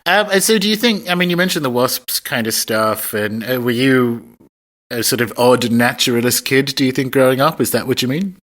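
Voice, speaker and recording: A man's voice: medium pitch, normal speed, a bit bright. A man hosting a podcast, speaking as presenter and interviewer. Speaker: podcast host. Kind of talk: scripted podcast introduction, then casual interview. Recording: recorded in a pub, cleaned up.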